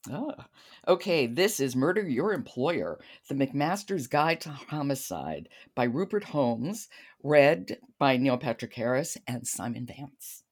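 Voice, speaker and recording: A woman's voice: extremely low pitch, big pitch swings, takes it slowly, husky. A woman hosting a podcast about books in a conversational style, asking questions and introducing titles. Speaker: podcast host. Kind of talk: conversational book-show hosting.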